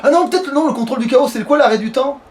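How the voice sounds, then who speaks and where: High-pitched man chatting playfully while gaming. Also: very fast. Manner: playful, slangy, swearing. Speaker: let's play streamer. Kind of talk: casual gameplay chat.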